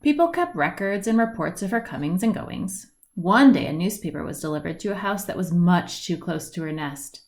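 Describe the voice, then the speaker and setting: A woman reading a children's picture book aloud, with deliberate phrasing, stretched words and lilting lists. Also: fairly low pitch, expressive, a little mellow. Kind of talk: read-aloud storybook narration. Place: indoors at home, at a wooden table by a window.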